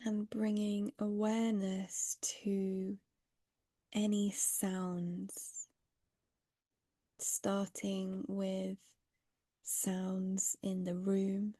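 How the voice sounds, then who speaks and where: A woman leading a guided mindfulness meditation in a gentle, unhurried, breathy voice with long pauses, some phrases fading to a near-whisper. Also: mid-range voice, monotone, dry recording.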